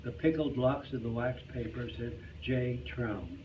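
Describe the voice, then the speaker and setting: A man's voice: mid-range voice, unhurried. A man giving a scripted humorous reading of a narrated story in live performance. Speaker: reader at a reader's theater club. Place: club hall, live performance. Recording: muffled, noisy, distant live hall recording.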